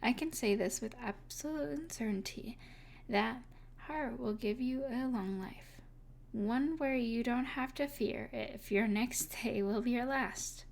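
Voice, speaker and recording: A woman playing an evil sorceress, possessive and tenderly devoted, speaking softly and deliberately in an intimate ASMR roleplay. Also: fairly high pitch, gravelly. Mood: reassuring. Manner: soft, deliberate, soothing yet possessive.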